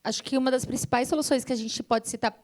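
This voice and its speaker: A woman's voice: high-pitched, fairly even intonation, fast talker, darkish tone. A woman with a Brazilian accent explaining environmental science as a panelist at a forum, often adding small tag questions as she goes.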